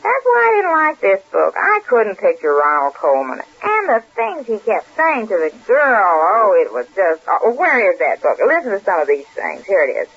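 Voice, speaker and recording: Flighty, animated housewife, old radio. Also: female voice, mid-range voice, moderate pace, hardly any pauses, breathy edge, exaggerated voice. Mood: flighty, earnest. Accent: American. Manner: flighty, naive, earnest, rambling. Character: scatterbrained, chatty, stubborn wife who garbles words. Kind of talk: 1940s American radio comedy acting. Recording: muffled old radio broadcast recording.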